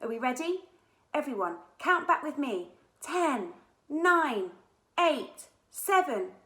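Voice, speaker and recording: A woman acts out a confident young schoolgirl taking charge in a storybook. She is brisk and encouraging, with a raised pitch. The room is audibly echoey. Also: sing-song, pushed and bright, exaggerated voice. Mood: bold, eager.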